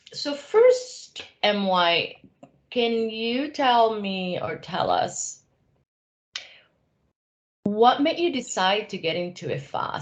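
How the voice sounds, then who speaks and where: A woman informally interviewing a guest over a video call, as the host of the conversation. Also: medium pitch. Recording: dull, lo-fi online call audio.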